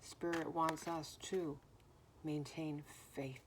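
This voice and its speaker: A woman giving a spiritual message in a gentle, soothing way, with a naturally deep voice that is somewhat hoarse. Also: fairly even intonation, takes it slowly, noisy background. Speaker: spiritual card reader. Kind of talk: spiritual message, slow and reflective.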